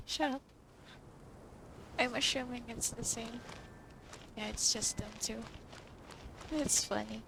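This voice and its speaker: A woman's voice: a little high, slow, vocal fry, pauses often, background noise. A woman giving casual gameplay commentary, with offhand, muttered reactions. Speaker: gamer.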